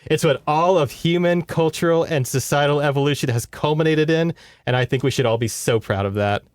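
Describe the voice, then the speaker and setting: A man's voice: on the high side, brisk, dry recording, clean studio sound. A man co-hosting a podcast, recapping a book in a rambling, conversational way with sardonic asides. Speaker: podcast co-host. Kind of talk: casual book recap chat.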